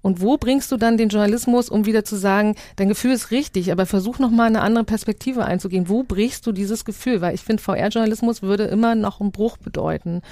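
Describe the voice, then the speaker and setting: A woman talks quickly in a mellow voice. She explains things off the cuff in a discussion, reflective and fond of rhetorical questions. The sound is close and dry. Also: mid-range voice, dry recording. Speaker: guest, immersive journalism project maker. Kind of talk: panel-style discussion, spontaneous explaining.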